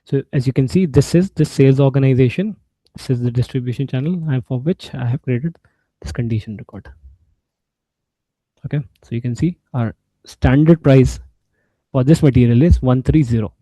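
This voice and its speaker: A man giving an informal software walkthrough, explaining step by step in a halting way. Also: mid-range voice, dry recording, low-quality recording. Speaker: SAP instructor.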